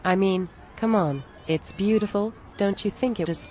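A woman's voice: medium pitch, normal speed, warm tone, slight background noise, close to an everyday voice. A woman playing a down-to-earth, exasperated friend in a scripted cartoon dialogue, plain-spoken and conversational.

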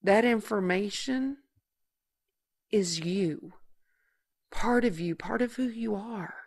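An actress playing a caring, grave and patient older sister in an audio drama. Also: female voice, mid-range voice, slow pace, a little mellow. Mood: tender, grave.

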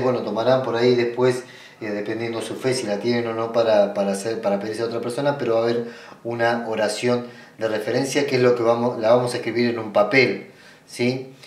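Argentine man giving instructions, distant and roomy. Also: medium pitch. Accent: Argentine Spanish. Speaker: ritual practitioner giving a tutorial. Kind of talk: informal step-by-step demonstration. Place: small indoor room at a table. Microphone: camera mic away from the speaker. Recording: roomy sound, distant microphone.

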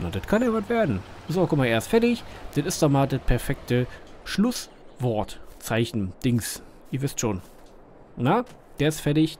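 A man's voice: medium pitch, a little mellow. Man, Berlin dialect, chatty gameplay commentary, rambling fillers, faint background noise. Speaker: gaming channel host.

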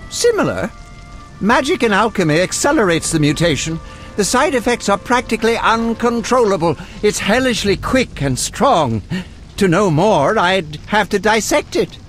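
An eccentric game alchemist, high-voiced and very animated. He speaks like a fussy scholar, precise and morbidly curious, over faint music. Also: normal speed. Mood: scholarly, fascinated. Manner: erudite, precise, relishing grisly detail. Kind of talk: video game dialogue acting.

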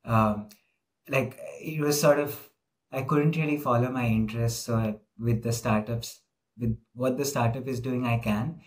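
A man's voice: mid-range voice, steady tone, unhurried. A man hosting an informal interview over a video call, talking casually with frequent fillers. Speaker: interviewer, host.

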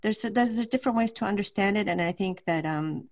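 A woman's voice: mid-range voice, fairly quick, a little mellow. A middle-aged woman answering questions informally at an online talk, hesitant and searching for words as she thinks aloud. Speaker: guest lecturer answering questions. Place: home room with bookshelves, on a video call. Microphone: large desk microphone.

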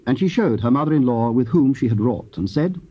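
A man's voice: mid-range voice, animated, fairly quick, husky. A man giving solemn, scripted narration of scripture. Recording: dull, lo-fi old cassette.

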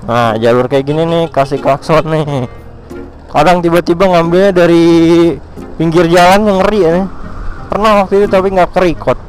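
A man's voice: a little high, moderate pace, soft background music, poor audio. A young man chatting and joking to himself while riding a motorcycle through city traffic. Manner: chatty, joking, slangy, teasing other riders. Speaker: motovlogger. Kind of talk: casual riding commentary.

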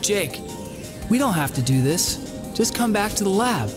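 A woman's voice: medium pitch, big pitch swings, normal speed, close to an everyday voice. A woman voicing a plucky, earnest young boy hero in video game cutscenes. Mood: pleading. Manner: earnest, youthful. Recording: game music behind voice.

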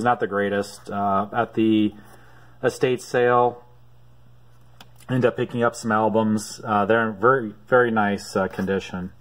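A man casually showing his auction finds to the camera from behind it, filling his speech with "uh" pauses at home. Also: fairly bright tone. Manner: unhurried, many "uh" pauses, low-key. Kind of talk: casual off-camera show-and-tell. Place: home room at a desk.

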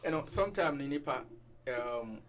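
A man in his thirties with a Ghanaian accent, a guest chatting in a radio studio, relaxed and mixing in Twi phrases. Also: medium pitch, normal speed, background noise. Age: 30s. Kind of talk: casual radio interview. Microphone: headset and desk mic.

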